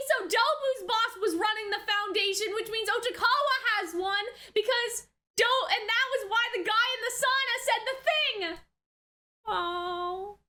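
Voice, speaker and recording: A woman giving casual, unscripted reaction commentary as a video host, expressive, exclamatory and slangy, thinking out loud. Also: very high voice. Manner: chatty, thinking aloud.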